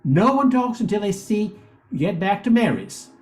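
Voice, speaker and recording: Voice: medium pitch, very animated, normal speed, heavy background noise. An older amateur narrator reading a scripted radio play, occasionally stumbling and repeating words.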